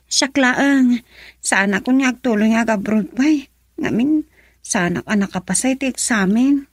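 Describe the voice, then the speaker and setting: An actress with an Ilocano accent playing a gentle, apologetic young woman troubled by conscience in a radio drama. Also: a little high, moderate pace, no echo, natural voice. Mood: evasive.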